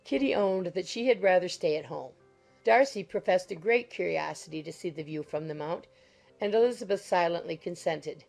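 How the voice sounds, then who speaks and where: Woman reading a classic novel audiobook, lots of fry, faint music behind her. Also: lowish voice, moderate pace, darkish tone. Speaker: narrator. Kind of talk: scripted literary audiobook narration.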